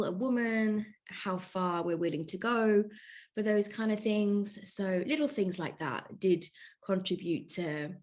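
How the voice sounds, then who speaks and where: A young woman from London, speaking as a podcast guest in casual interview chat, thoughtful and hesitant with many fillers. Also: mid-range voice. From England, London. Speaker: podcast guest, yoga teacher.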